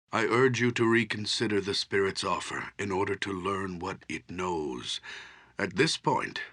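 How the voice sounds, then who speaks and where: Fantasy game archdruid, elderly: solemn wise counsellor, theatrical and formal, with swooping intonation and a bright, forceful edge. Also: male voice, on the low side, vocal fry, husky, exaggerated voice. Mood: grave, counselling. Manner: measured, formal, theatrical delivery.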